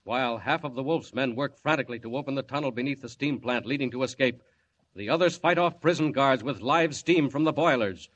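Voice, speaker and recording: A man's voice: medium pitch, a little fast, fairly bright tone. A man narrating a 1940s radio serial in a dramatic, urgent announcer manner.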